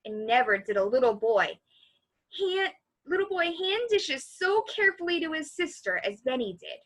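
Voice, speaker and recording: A woman reading a children's story aloud as a library storyteller, in an expressive, animated way. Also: high voice. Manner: animated, pausing to comment on the story.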